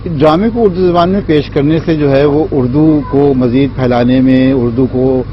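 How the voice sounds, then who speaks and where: A man gives interview commentary in a mid-pitched voice, explaining with hesitant phrasing. The recording is dull, with faint noise behind him. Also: fairly even intonation, normal speed.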